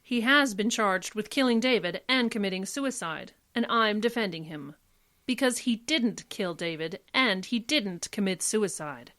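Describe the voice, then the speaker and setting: Woman as defense attorney, confident, shrewd, medium-pitched voice, classic radio-play acting. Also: expressive, measured pace, a bit bright. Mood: accusing. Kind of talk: old-time radio drama acting.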